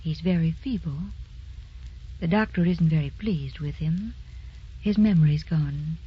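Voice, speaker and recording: An actress playing a kindly, protective middle-aged mother, measured and solicitous, in a sentimental radio drama. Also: low-pitched, husky, full character voice. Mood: concerned, guarded. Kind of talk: sentimental old-fashioned radio drama acting. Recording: muffled old radio recording with music.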